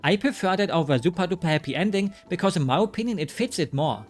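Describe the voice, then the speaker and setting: A man with a fairly high voice talks through a game review in a scripted, offhand way, dropping wry jokes at his own expense. Soft music plays quietly underneath. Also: expressive, quick pace. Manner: wry, joking asides. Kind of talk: scripted commentary.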